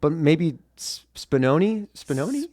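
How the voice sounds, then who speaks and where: A man's voice: on the high side, big pitch swings, measured pace, darkish tone, no room sound. A young man co-hosting a casual podcast, gushing and enthusiastic, chatting in a studio. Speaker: podcast co-host.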